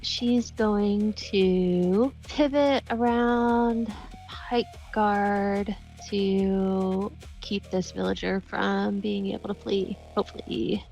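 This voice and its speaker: A woman's voice: mid-range voice, fairly even intonation, drawn-out words, vocal fry, darkish tone. A woman playing a tabletop fantasy game, casually describing her character's attacks. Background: music.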